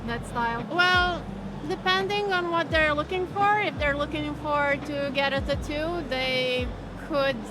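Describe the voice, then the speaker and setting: A woman with a Croatian accent who owns a tattoo and piercing studio, speaking in a measured, thoughtful way at a convention. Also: high-pitched, background noise. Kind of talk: interview at a convention. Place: busy convention hall.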